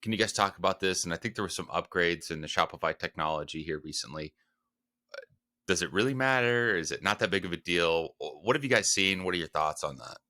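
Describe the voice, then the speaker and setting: A man's voice: sits low, expressive, fast talker, bright, forceful tone, no echo, clean studio sound. A man hosting a podcast, asking his guests questions and rephrasing them as he goes. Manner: asks stacked, rephrased questions. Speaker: podcast host. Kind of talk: interviewing guests.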